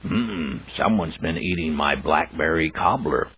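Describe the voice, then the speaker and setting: A man's voice: extremely low pitch, measured pace, a little hiss or hum. A man voicing a puzzled father bear in a storybook tale, speaking in a pondering way. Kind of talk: storybook character voice. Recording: phone-like, narrow band sound.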